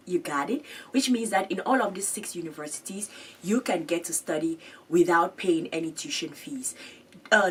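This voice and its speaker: A woman's voice: fairly low pitch, far from the mic, slight background noise. A woman hosting an education channel, chatting through web pages on screen, repeating her points and laughing at her own pronunciation.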